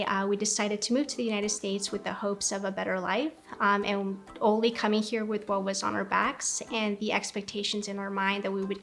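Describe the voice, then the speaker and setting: Young woman, 20s, from Ecuador, documentary interview, reflective and earnest, mid-pitched, light music bed. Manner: reflective, earnest, frequent ums. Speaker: graduate student.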